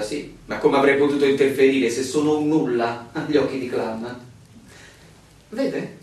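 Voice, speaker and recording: A man's voice: mid-range voice, lightly acted. An actor playing a stubborn, slightly arrogant young male newcomer in an Italian radio play, arguing politely but insistently. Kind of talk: theatrical radio drama dialogue. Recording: very echoey, distant, noisy ambience.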